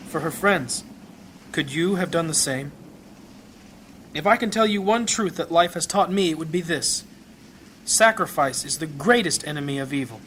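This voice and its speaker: A man's voice: high voice, fairly bright tone, a little hiss or hum. Man acting a grave, impassioned fantasy priest. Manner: measured, rhetorical, impassioned. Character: grave compassionate priest leading a memorial service. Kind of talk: fantasy audio drama acting, solemn sermon.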